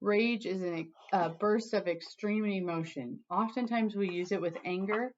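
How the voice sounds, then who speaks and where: A woman teacher reading a storybook aloud to students in an animated, emphatic way, with casual asides. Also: medium pitch, unhurried, highs cut off, a little hiss or hum.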